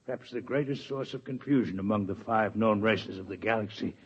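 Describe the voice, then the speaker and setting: An actor playing a polite, formal alien male doctor who speaks in a halting, muffled way, in a 1950s radio drama. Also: fairly low pitch, breathy edge. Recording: dull 1950s radio broadcast.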